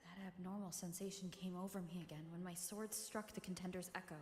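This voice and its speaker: A woman's voice: on the low side, flat delivery, fairly quick, darkish tone, a little hiss or hum, natural voice. A woman voicing a watchful, introspective young heroine in a fantasy video game. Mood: pensive, uneasy. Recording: roomy reverb over game music.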